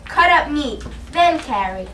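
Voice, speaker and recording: A woman's voice: sits high, expressive, measured pace, bright, forceful tone, reverberant, far from the mic, a little hiss or hum, heavily acted. A woman voicing a terse young Native boy who speaks clipped broken English. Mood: solemn.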